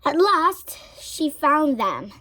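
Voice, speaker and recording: A woman reading a children's story aloud in a dramatic way, sometimes hushed. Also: high-pitched, very animated, slow pace, a little hiss or hum, rough recording. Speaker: storybook reader.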